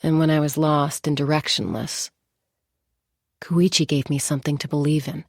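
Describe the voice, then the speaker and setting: A confident young warrior lady from a game, lowish with some gravel, real dry close sound, calm and articulate. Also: no room sound. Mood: tender, solemn. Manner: measured, articulate, reflective delivery. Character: composed, confident young woman, seasoned flying warrior and peacemaker. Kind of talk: scripted game character monologue.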